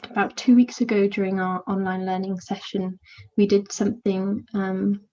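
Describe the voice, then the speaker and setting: Soft-spoken young woman, soothing voice. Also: mid-range voice, level tone, normal speed, dull audio. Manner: quiet, introverted, hesitant with many fillers. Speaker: volunteer mentor. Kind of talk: casual interview answers.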